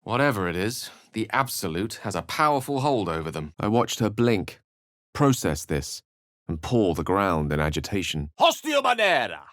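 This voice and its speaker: A man's voice: on the low side, wildly swooping pitch, moderate pace, fairly bright tone, no echo, studio quality. An actor playing a learned, wry male human wizard in a fantasy video game, speaking in an articulate, theatrical and bookish way. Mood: wry, assured, then grave, reflective, then commanding incantation. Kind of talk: fantasy game character lines and narration.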